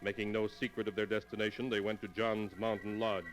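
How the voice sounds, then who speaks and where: An actor playing a solemn, ominous narrator, measured and dramatic, in a 1940s radio horror series. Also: male voice, lowish voice, level tone, close to an everyday voice. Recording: old golden age radio recording. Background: prominent music.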